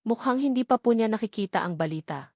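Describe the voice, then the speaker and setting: A woman's voice: on the high side, expressive, fast talker, a little mellow, no echo. Woman acting as office assistant, Filipino accent, respectful, deferential, storybook character, dry recording. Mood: dutiful. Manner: polite, respectful. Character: deferential assistant reporting to a powerful boss.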